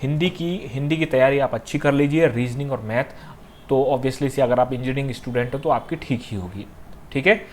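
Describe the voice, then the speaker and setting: Man, mid-pitched voice, coaching teacher, instructive, repetitive check-in tags, faint background noise. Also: fairly quick. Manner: instructive, repeats key points, frequent check-in tags. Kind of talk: informal exam-prep advice to students.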